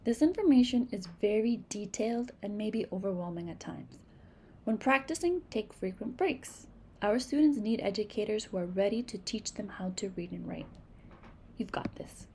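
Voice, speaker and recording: A woman's voice: medium pitch, normal speed, warm tone, slight background noise. A woman from Massachusetts with a New England accent, a native Spanish speaker, patiently teaching phonics and modeling speech sounds in an instructional video. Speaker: reading teacher.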